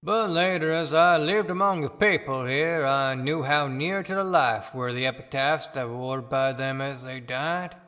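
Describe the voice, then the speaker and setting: A woman's voice: sits low, moderate pace, few pauses, a bit bright. A woman voicing a weary, rueful male tombstone carver in a theatrical audio play monologue, with long reverb around the voice. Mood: rueful, measured.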